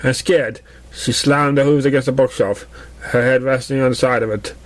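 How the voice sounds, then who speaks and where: A man's voice: medium pitch, fairly even intonation, moderate pace. A man reading a story aloud as an amateur narrator, now and then stumbling over the words. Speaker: amateur fan fiction reader.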